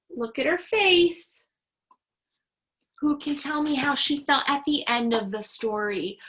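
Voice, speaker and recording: A woman teaching young children in a read-aloud lesson, encouraging them with sing-song, drawn-out key words and simple instructions. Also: high voice, pushed and bright, low-quality recording. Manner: encouraging, praising young learners.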